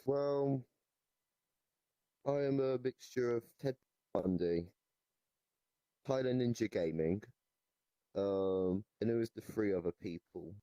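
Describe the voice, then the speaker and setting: A man playing a deranged goblin storyteller in an improvised comic interview, rambling haltingly and nonchalant about absurd claims. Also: on the low side, dark tone, no room sound. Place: virtual reality voice chat.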